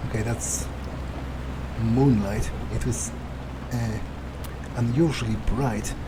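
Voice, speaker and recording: A man playing a wary, foul-mouthed vampire in an improvised video game roleplay, thinking aloud in halting, muttered asides. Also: medium pitch, fairly even intonation, noisy background, close to an everyday voice. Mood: wary, musing. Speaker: gamer in an in-character playthrough.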